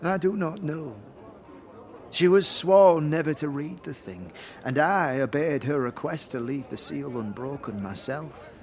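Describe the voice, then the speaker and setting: A man's voice: fairly high pitch, a little slow. A man voicing a cryptic female fortune teller in a fantasy audio drama, portentous and archaic in manner. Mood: mysterious, earnest. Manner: mysterious, portentous, archaic phrasing. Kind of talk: audio drama character acting.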